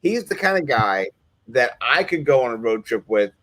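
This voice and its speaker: A man's voice: medium pitch, big pitch swings, normal speed, fairly bright tone. A man co-hosting a casual sports podcast, jokey, often stammering and restarting. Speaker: podcast co-host.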